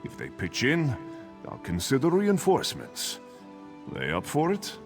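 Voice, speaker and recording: An actor playing a gruff, sardonic privateer sailor in a fantasy video game, salty and theatrical. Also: male voice, mid-range voice, huge pitch swings, measured pace, soft background music. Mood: scornful, challenging. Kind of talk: scripted video game dialogue.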